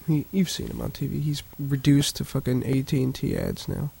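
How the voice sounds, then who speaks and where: A man's voice: mid-range voice, little pitch movement, brisk, creaky, warm tone, husky. A man co-hosting a crude casual chat show, joking along in a profane way and laughing often. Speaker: podcast co-host.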